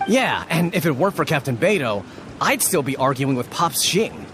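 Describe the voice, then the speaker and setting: A grateful, earnest villager. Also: male voice, fairly high pitch, big pitch swings, normal speed, noisy background. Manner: earnest, thankful. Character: grateful male villager, relieved and friendly.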